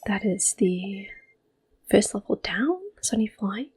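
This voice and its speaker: A woman giving soft-spoken, often whispered ASMR commentary on a game, gentle and breathy. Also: mid-range voice. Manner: gentle, unhurried. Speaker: ASMR gameplay host.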